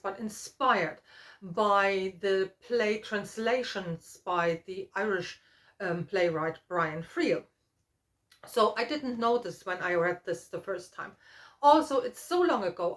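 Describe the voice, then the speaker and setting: A middle-aged woman talking casually to viewers as a book vlogger, in a halting, thoughtful way with trailing asides. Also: medium pitch, a bit bright. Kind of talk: casual book chat to camera.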